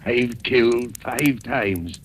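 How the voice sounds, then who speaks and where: An actor playing a vengeful, gloating murderous chauffeur in a 1940s radio thriller, taunting and deliberate. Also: male voice, mid-range voice, lightly acted. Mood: cold menace. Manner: menacing, taunting, coldly deliberate. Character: insane vengeful chauffeur, a gloating serial killer. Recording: noisy old radio broadcast recording.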